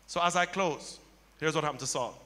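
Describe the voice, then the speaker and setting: A middle-aged male pastor preaching a sermon on a church stage into a handheld mic, building to loud impassioned cries. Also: fairly high pitch, brisk. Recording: long hall reverb.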